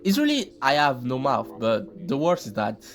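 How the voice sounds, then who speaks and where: A man's voice: medium pitch, huge pitch swings, moderate pace. A man hosting casual video commentary, informal and joking, with plenty of slang. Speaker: host of reaction commentary videos. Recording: loud music behind the voice. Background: loud music.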